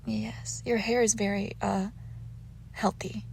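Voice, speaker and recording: Haughty young female vampire, played by a woman, intimate roleplay audio: coy teasing, breathy near-whispers, slow delivery, faint background noise. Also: mid-range voice, little pitch movement, darkish tone, rough recording.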